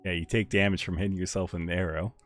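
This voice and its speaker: A young man with a deep voice chatting casually with viewers while he plays a game on a live stream, rambling in a relaxed way. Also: fast talker. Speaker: livestreamer. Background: faint game music.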